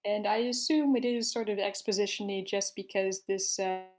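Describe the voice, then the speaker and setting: Female host, mid-pitched, informal and wordy, casual discussion leader who searches for her words. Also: steady tone, moderate pace. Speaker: host of a screenplay table read discussion. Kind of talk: casual chat.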